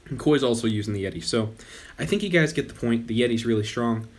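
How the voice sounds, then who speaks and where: A man's voice: mid-range voice, fast. A young man hosting his gaming channel, talking casually over gameplay while losing his voice, often stopping to correct himself. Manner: relaxed, rambling with frequent self-corrections. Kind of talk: casual game commentary.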